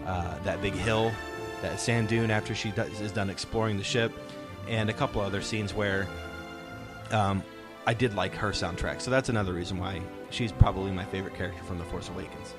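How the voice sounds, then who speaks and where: A man's voice: low voice, steady tone, fairly quick. A man hosting a podcast in casual pop-culture discussion, explaining his points and posing rhetorical questions. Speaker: podcast host. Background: soundtrack music playing faintly.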